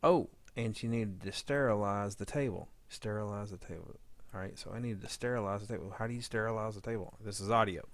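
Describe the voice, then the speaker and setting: A man with a fairly low voice walks through an informal software demo. He thinks aloud as he goes, often muttering to himself while he works. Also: a little fast, no echo. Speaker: audio drama editor giving a tutorial. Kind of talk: informal screen-demo narration.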